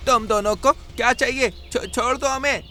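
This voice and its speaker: A man's voice: very high voice, normal speed, bright, forceful tone, heavily acted. A man voicing a sneaky, cowardly mouse thief in a cartoon, whiny and blustering. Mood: frightened.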